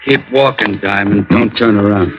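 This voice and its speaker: A man's voice: on the low side, normal speed, faint music behind, close to an everyday voice. An actor playing a cold hired gunman in an old radio crime drama, giving terse orders and threats. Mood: curt menace. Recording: muffled vintage radio recording, very noisy.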